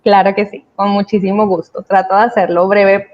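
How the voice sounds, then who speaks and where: A woman's voice: medium pitch, flat delivery, normal speed. A woman giving a conversational company presentation, explaining things with emphasis. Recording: dull lo-fi video-call audio.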